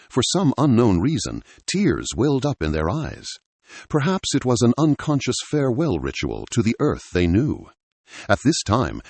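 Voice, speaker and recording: A man's voice: fairly low pitch, slightly rough, no echo. A man narrating a scripted adventure story in a dramatic style.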